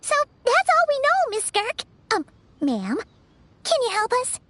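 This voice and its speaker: A woman voicing a small, chatty, easily spooked fairy-like girl companion in a video game, with a childlike squeaky voice. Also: wildly swooping pitch, unhurried, fairly bright tone, dry recording, full character voice. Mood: hesitant. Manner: refers to self in third person, exclamatory. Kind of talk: animated video game dialogue. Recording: music behind the voice.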